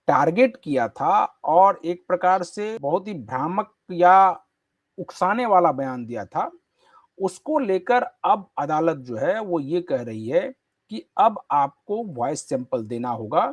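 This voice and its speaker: A male news host with a fairly high voice and very lively intonation. He delivers political-style commentary emphatically, stressing points through repetition. The sound is dry and close. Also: no room sound. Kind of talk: news commentary and panel questioning.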